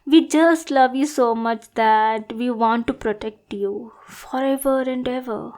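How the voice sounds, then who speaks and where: A woman acts out a lovestruck young female bodyguard in an audio roleplay. Her voice sits fairly high and she speaks slowly, with a sweet, adorkable manner that turns possessive and bossy. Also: darkish tone. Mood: adoring. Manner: sweet, adorkable, slow, gushing then backpedalling. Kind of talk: acted audio roleplay addressed to listener.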